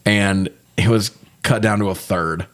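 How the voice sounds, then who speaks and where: A man's voice: on the low side, a little slow. A man co-hosting a casual movie review chat, griping with plenty of swearing. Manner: profane, animated griping. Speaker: podcast co-host reviewing movies.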